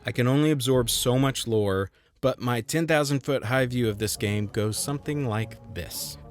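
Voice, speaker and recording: A man's voice: medium pitch, normal speed, faint music behind. A man reading a scripted game review voice-over with dry, self-deprecating humor and sarcastic asides.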